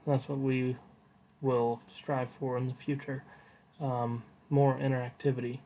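A man hosting a casual solo podcast, giving an update in an informal, unscripted, halting way. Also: mid-range voice, level tone, warm tone, slight background noise, poor audio. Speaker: podcast host.

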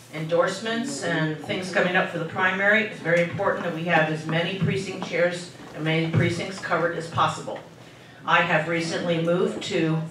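A woman's voice: lowish voice, background noise. An older woman giving chatty, emphatic announcements at a community meeting. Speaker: meeting organizer. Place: large meeting hall indoors. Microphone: handheld mic, recorded from far camera. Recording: echoey hall from far off.

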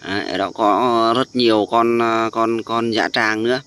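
A man speaking as a vlogger, casually explaining things in an informal, halting way while outdoors. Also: lowish voice, level tone, a bit bright, clean tone. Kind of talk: casual narration of a nature vlog. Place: outdoors on a sandy tidal beach.

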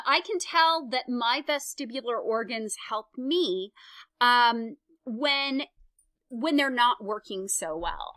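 Bright, chatty woman lecturer. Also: on the high side, no room sound. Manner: chatty, self-deprecating, gives hands-on instructions. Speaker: psychology lecturer. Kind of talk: recorded slide lecture. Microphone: close mic, voice-over on slides.

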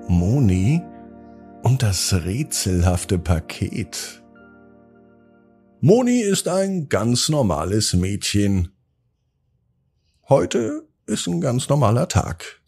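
A man hosting a children's podcast and narrating bedtime stories, speaking directly to young listeners with dramatic pauses and drawn-out words. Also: on the low side, darkish tone, slightly rough. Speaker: children's podcast host and storyteller.

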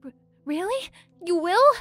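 A woman's voice: very high voice, animated, unhurried, pushed and bright, no echo, faint music behind, heavily acted. A woman voicing an unsure but determined young heroine who hesitates and stammers, in a fan audio drama. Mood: earnest.

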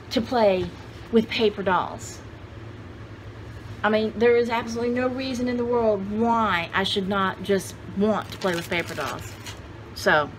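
Chatty woman in her sixties, noisy recording. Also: mid-range voice. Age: 60s. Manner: chatty, rambling, thinking aloud. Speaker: vlogger showing vintage paper dolls. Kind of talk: casual chat to camera. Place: indoor room at home with cluttered shelves. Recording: clearly noisy home video sound.

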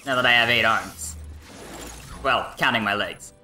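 A man's voice: mid-range voice, unhurried, pushed and bright, soft background music. A man voicing a giddy, lonely middle-aged mad scientist with a rambling, awkwardly chipper manner in an improvised comedic game dub. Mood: gleeful.

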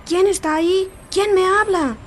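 A woman's voice: extremely high pitch, moderate pace, slight background noise. A woman with a Bolivian accent playing a sweet young girl in a radio drama. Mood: nervous. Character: sweet young girl, Rosita, on a football team.

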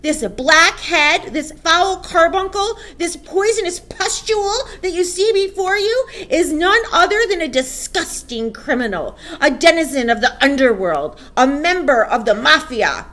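A woman voicing a tyrannical, contemptuous headmistress in a storybook, barking and booming out theatrical insults. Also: animated, measured pace, hardly any pauses, sharp tone, heavily acted. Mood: furious, contemptuous.

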